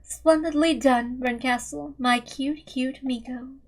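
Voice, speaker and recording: A woman voicing an ancient, contented witch, soft-spoken, languid, regal and indulgent. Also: high voice, fairly even intonation, takes it slowly, heavily acted. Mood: drowsy, indulgent.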